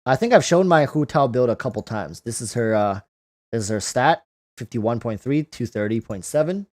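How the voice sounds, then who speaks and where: A man's voice: medium pitch, expressive, normal speed, darkish tone. A man hosting a casual livestream, reading out and answering viewer comments, often repeating phrases as he thinks aloud. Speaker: livestream host.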